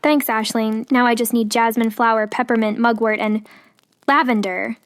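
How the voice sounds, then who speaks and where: A woman's voice: a little high, steady tone, moderate pace, dry recording, natural voice. A woman voicing a kind young potion-making witch in a storybook reading, friendly and lightly teasing.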